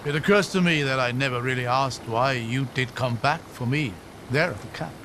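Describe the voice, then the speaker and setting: A man's voice: medium pitch, fairly quick, background noise, lightly acted. A man acting a wry, regretful fugitive companion. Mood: gently probing. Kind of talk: video game cinematic acting.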